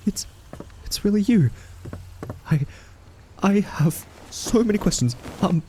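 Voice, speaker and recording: A man playing a timid, quirky scientist in an audio roleplay, soft-spoken, stammering and awkwardly polite. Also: high voice, lively intonation, slow, slight background noise. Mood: flustered, startled. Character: timid, quirky male scientist held captive by a rogue AI. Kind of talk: audio roleplay acting addressed to listener.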